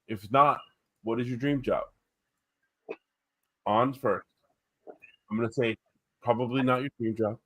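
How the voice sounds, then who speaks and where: A man co-hosting a casual art livestream, enthusiastic and quick to agree. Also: medium pitch, steady tone, slightly rough. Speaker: co-host and colorist.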